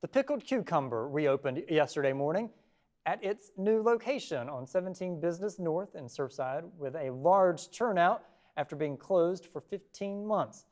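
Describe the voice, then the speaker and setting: A man's voice: on the high side, expressive, normal speed, a little mellow. A man anchoring a local television newscast, reading scripted stories from a studio. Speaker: TV news anchor.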